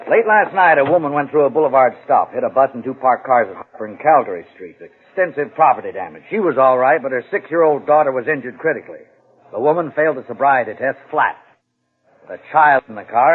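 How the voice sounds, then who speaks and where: A stern police captain in a vintage radio drama, with a husky voice of medium pitch. He is brisk, deliberate and used to giving orders. The recording is thin and narrow. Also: expressive. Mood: grave, indignant. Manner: authoritative, deliberate. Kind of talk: 1950s radio drama acting.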